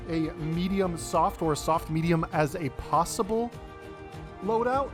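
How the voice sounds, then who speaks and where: American man calling a sim race. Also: fairly high pitch. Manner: chatty play-by-play with fillers and self-corrections. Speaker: race commentator. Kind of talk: live sim racing commentary.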